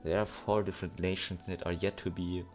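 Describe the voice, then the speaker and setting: A man's voice: deep voice, flat delivery, a little fast, a little mellow. A man giving casual running commentary on a game he plays, rambling and thinking aloud in an incredulous way. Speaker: gamer doing a playthrough. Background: game music.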